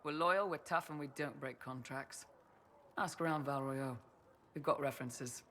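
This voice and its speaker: An actor playing a confident, loyal young mercenary soldier in a video game, blunt and businesslike. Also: male voice, medium pitch, normal speed, pushed and bright, close to an everyday voice. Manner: blunt, plain-spoken, businesslike. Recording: faint background ambient noise.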